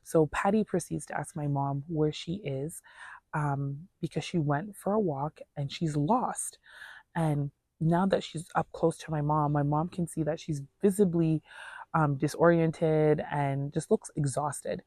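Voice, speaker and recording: A woman's voice: sits low, unhurried, mellow, slightly rough, dry recording. A woman telling a personal story in a casual, earnest, conversational way, voicing reported dialogue as she goes. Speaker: storyteller vlogger.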